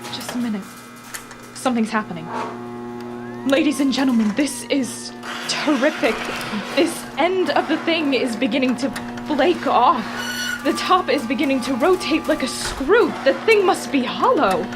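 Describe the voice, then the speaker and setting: Student actress as high-voiced, horrified female radio reporter. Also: lively intonation, music in the background. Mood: urgent. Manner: dramatic, halting, with pauses and repeated words. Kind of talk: live eyewitness commentary in a radio play.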